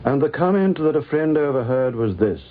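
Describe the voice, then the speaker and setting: A man narrating a 1950s radio documentary in a formal, literary, measured way. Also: mid-range voice, animated. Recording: tinny, phone-like 1950s radio recording.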